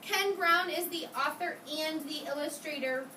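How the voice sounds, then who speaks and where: Woman, kindergarten teacher, high voice, questioning and instructive, echoey room, distant mic. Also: moderate pace, sharp tone. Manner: questioning, repeats answers back, instructive. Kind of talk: interactive read-aloud lesson for young children. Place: indoor classroom with young children. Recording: roomy, noisy classroom from a distance.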